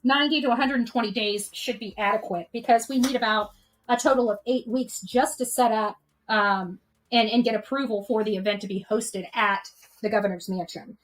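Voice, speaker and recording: A woman's voice: on the high side, steady tone, a little fast, rough recording. A woman hosting an unscripted livestream, addressing her followers in an earnest, exhortatory way and often checking for agreement. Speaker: livestream channel host and activist organizer.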